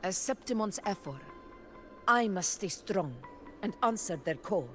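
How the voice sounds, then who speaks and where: A woman voicing a proud, resolute warrior ruler in a video game, speaking in a formal, measured, commanding way. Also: mid-range voice, expressive, a bit bright. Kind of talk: dramatic game dialogue. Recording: music behind the voice. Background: background music.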